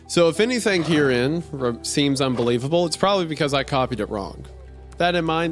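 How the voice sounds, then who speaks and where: A man reading as a deadpan gas station clerk, telling a strange story in the first person in a dry way. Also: a little high, natural voice. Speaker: podcast host reading a horror story aloud. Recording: music behind the voice.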